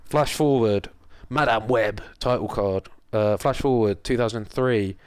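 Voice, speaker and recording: A man's voice: lowish voice, measured pace, a little mellow, smooth, no room sound, studio quality. A man co-hosting a film podcast, chatting casually with wry, sarcastic humour and some swearing. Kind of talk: casual chat, recapping a film plot.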